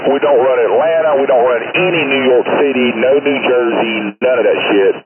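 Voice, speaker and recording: A man's voice: medium pitch, fairly quick, phone-quality sound. A man from Tennessee, a truck driver with a blunt, plainspoken way of talking, chatting on CB radio from his cab. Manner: blunt, plainspoken, swears casually. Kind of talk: casual CB radio chat. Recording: crackly CB radio, loud vehicle noise.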